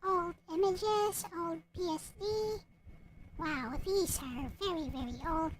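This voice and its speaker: A woman chatting casually and haltingly, half to herself, as she narrates what she is doing on her computer. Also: very high voice, expressive. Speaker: visual novel creator narrating. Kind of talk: casual chat while searching computer files. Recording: faint background noise.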